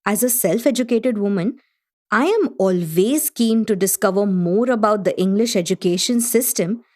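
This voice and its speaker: A woman's voice: mid-range voice, moderate pace, a little mellow, lightly acted. An actress playing a learned Indian woman who hosts a literary gathering, narrating formally and with poise in a radio play. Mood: poised, informative. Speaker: host.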